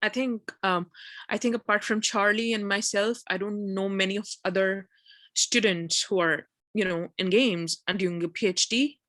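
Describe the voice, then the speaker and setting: A woman's voice: mid-range voice, steady tone, moderate pace, fairly bright tone, no room sound. A woman from Pakistan with a Pakistani accent, speaking informally and hesitantly, circling through her thoughts as a participant in a group discussion. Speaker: PhD student and game developer, event participant.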